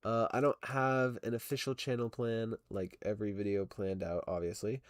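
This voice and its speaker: A man's voice: on the low side, little pitch movement, normal speed, no room sound, studio quality. A young man streaming while he animates, chatting casually and rambling with many fillers and self-corrections. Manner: rambling, lots of fillers, laughs often. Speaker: stop-motion animation streamer. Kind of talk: casual livestream chat, reading chat.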